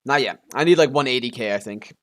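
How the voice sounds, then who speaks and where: A man's voice: medium pitch, fast, fairly bright tone. Man musing casually as he streams a game. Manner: muses aloud, thinking through options, mild swearing.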